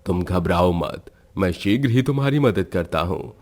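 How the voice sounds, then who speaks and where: Man acting a deep-voiced tantric holy man. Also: animated, normal speed, darkish tone. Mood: reassuring. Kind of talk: dramatized horror story acting.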